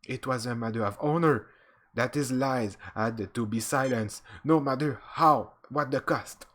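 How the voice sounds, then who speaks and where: A man's voice: mid-range voice, unhurried, a bit bright. A man reading as a stern alien admiral. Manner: reads stiffly with slips.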